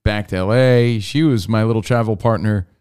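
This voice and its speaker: Low, smooth-voiced laid-back man. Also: normal speed, darkish tone. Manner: laid-back, rambling and jokey. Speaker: podcast co-host. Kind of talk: casual chat.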